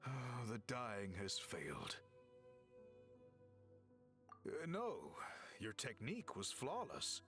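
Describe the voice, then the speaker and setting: Courteous, earnest young-hero scholar, lively intonation. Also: male voice, mid-range voice, soft background music, exaggerated voice. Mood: disappointed. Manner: polite, measured, formal, earnest. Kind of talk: anime video game voice acting.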